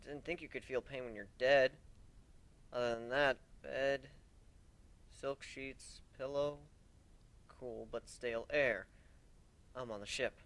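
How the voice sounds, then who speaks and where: A man's voice: mid-range voice, steady tone, takes it slowly, pauses often. A man voicing an embittered, hot-tempered male griffin captain in a story, with emotional delivery. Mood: groggy. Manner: emotional, swings from muttering to shouting.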